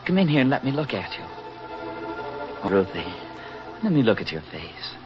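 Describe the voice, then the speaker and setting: An old, dull-sounding radio recording with music playing behind. an affable young American soldier, lovesick and folksy, in a lowish voice. He woos his sweetheart in ardent 1940s drama style. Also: sing-song, normal speed. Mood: eager, joyful.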